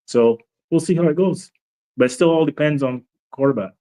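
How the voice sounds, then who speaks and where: A man with a Barbadian accent, a guest on a sports talk show, speaking in a measured, thoughtful way with some hesitations. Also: fairly high pitch, warm tone. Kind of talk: casual sports chat.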